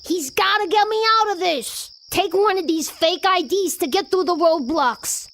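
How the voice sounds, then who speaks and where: A determined news photographer in a video game. Her voice is exceptionally high, urgent and insistent, as if everything hangs on being heard. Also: normal speed, a bit bright, slight background noise. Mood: pleading. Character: driven, pleading young woman news photographer. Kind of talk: video game cutscene acting.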